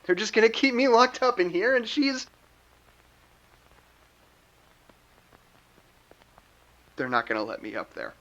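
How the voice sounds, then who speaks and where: A man acting in an audio drama as an exhausted, anxious confined man who rambles haltingly and stammers over his words. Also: high-pitched, wildly swooping pitch, fairly bright tone, lo-fi sound, slight background noise, full character voice. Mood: distressed, desperate. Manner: halting, stammering, repeating himself.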